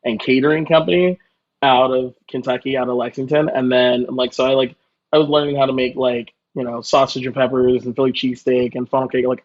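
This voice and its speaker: A man's voice: mid-range voice, muffled recording. A man chatting casually as a guest chef, telling stories in a joking, self-deprecating way. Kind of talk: casual conversational storytelling.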